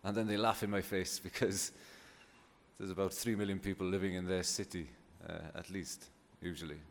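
Deep-voiced Welsh man in an echoey hall. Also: steady tone. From Wales. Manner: hesitant, halting, thoughtful. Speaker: poet and children's laureate giving a talk. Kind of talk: informal unscripted public talk. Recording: echoey hall, clear background noise.

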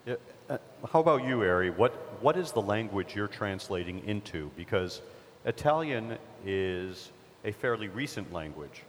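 A man's voice: on the low side, expressive, normal speed, slight background noise. A man moderating a panel discussion, asking probing questions and restarting his sentences with small stammers. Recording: echoey hall.

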